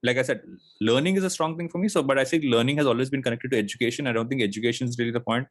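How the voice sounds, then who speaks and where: A man's voice: medium pitch, very fast, no echo. A man in his late thirties from India talks as a podcast guest, thinking aloud and circling back with plenty of fillers. His voice sits in an ordinary middle register. The recording is dry and close, with almost no room sound. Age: late 30s. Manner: reflective, rambling with many fillers and restarts. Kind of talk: casual interview chat.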